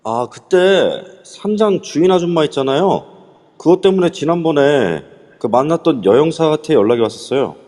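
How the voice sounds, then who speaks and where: A man's voice: a little high, animated, moderate pace, warm tone, in a large hall, close to an everyday voice. A man playing a younger male companion, talking casually. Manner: casual, reporting. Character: younger man who calls the leader big brother. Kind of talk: amateur audio drama acting.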